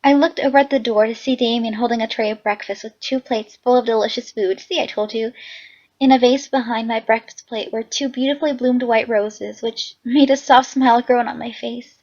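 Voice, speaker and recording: A hoarse young woman reading a visual novel aloud, chatty with excitable asides. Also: on the high side, brisk, highs cut off. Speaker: game reader and narrator.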